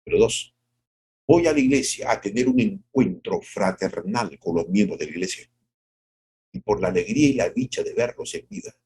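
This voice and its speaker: A middle-aged male pastor giving a devotional sermon, asking rhetorical questions and acting out quotes, moving from soft drawn-out phrases to sudden high exclamations. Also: expressive, slightly rough.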